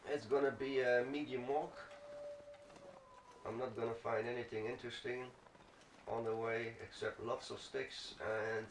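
A man's voice: medium pitch, flat delivery, measured pace. A man giving casual, rambling gameplay commentary, thinking aloud as he plays. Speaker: let's play gamer. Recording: roomy, distant mic, faint noise.

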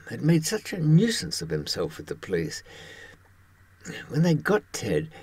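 An elderly man in his seventies giving a wry, halting conversational monologue to camera in a husky voice. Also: mid-range voice. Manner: wry, halting, with pauses for thought. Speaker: presenter talking from personal experience.

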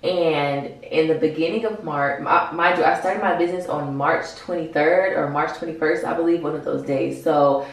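A woman's voice: sits low, steady tone, moderate pace, few pauses. A woman in her twenties with an American accent, giving casual, frank, slangy advice to camera in a vlog. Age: 20s. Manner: informal, slangy, frank, sprinkled with swearing. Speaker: vlogger, small business owner. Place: bathroom, indoors. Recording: very echoey small tiled room.

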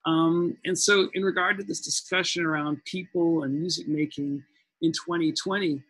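A man's voice: on the high side, steady tone, normal speed, a bit bright, breathy edge, no room sound, low-quality recording. A man in his forties speaking as a guest music professor on a panel video call, thoughtful and conversational, with a headset mic in a room at home. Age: 40s. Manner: thoughtful, conversational, many fillers.

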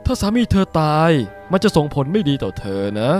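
A man's voice: on the high side, huge pitch swings, brisk, darkish tone, soft background music. A man voicing a haughty, scheming ice-bird demon aristocrat in a fan-dubbed cartoon, theatrical and condescending. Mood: sly, condescending. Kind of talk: Thai fan-dub cartoon acting.

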